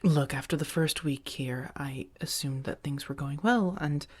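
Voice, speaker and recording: A woman playing a protective, affectionate female vampire roommate in a soft-spoken ASMR roleplay, breathy and often near a whisper, with halting, earnest delivery. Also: deep voice. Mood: apologetic, earnest.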